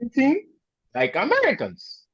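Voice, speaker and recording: A man's voice: extremely high pitch, measured pace, breathy edge, no room sound, dull audio. A man hosting a casual group talk, affirming the speakers and leaning on rhetorical questions. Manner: lively, affirming, uses rhetorical "right?". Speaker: host.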